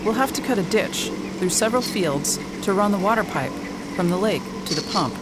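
A woman's voice: mid-range voice, expressive, a little fast, close to an everyday voice. A woman playing a practical engineer in a science fiction radio drama. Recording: very noisy amateur recording.

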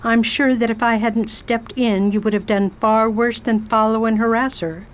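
A woman playing a formidable, brisk spinster travel writer with a crisp, commanding manner in an amateur radio drama. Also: a little high, steady tone, slight background noise, close to an everyday voice. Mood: stern.

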